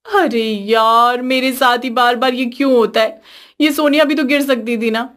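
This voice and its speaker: Woman putting on a high-pitched, whiny young daughter-in-law. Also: steady tone, moderate pace, a bit bright, natural voice. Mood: whining. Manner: whiny, sassy. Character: young sharp-tongued, complaining daughter-in-law.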